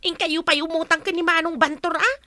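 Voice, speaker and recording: Excitable village woman, Ilocano accent, extremely high, sharp, pleading, dry studio sound, radio drama. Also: fairly quick, no room sound. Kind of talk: radio comedy-drama acting.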